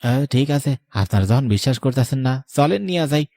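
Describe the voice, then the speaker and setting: A superstitious village man played by a male actor talks in an at medium pitch. He sounds earnest and cautioning, in horror cartoon style with a dry recording. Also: expressive, fairly quick, mellow, dry recording, lightly acted.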